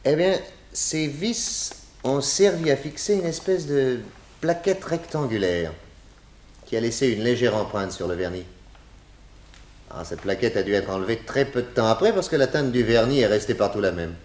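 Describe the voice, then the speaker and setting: A man playing a shrewd, courteous private detective in a radio serial, speaking in a deliberate, inquisitive, polite manner. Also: mid-range voice, darkish tone, close to an everyday voice. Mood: investigating, curious. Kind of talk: old French radio detective serial acting.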